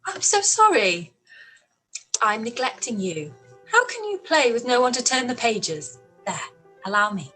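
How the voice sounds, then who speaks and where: Woman acting a polite regency young lady, slightly high breathy voice, composed but quick, radio play, bit of music behind. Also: big pitch swings, sharp tone, heavily acted, poor audio. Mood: apologetic. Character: poised, quick-witted young gentlewoman, polite but guarded. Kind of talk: period radio play live read.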